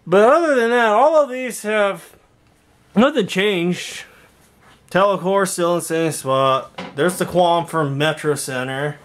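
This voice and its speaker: Male vlogger, slightly high voice, halting and off-the-cuff, showing his gear on a handheld camera. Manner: rambling, halting, thinking aloud. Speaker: video creator showing a home intercom and speaker system. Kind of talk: casual walkthrough vlog. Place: indoor garage workshop.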